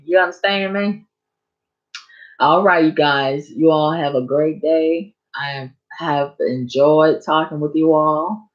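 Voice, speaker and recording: Woman live streamer, slow, rambling, hoarse, dull lo-fi audio. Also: deep voice. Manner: rambling, chatty, addresses viewers directly. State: hoarse from long talking. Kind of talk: casual live stream chat.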